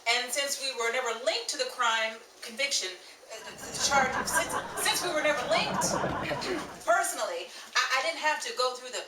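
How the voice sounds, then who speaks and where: A woman playing an upbeat, ambitious performer in an old-time radio style comedy, theatrical and quick with comebacks. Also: high-pitched, expressive, a crowd in the background. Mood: lively. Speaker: lead actor and show announcer. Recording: echoey live room, distant mic, audience noise.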